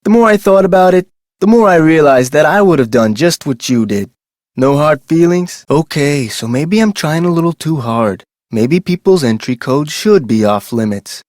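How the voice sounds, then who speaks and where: Game role: actor as male ex-convict park guard, colloquial, earnest, defensive, very animated delivery, dry recording. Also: on the high side, moderate pace, no room sound, natural voice. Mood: apologetic, earnest. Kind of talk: video game voice acting.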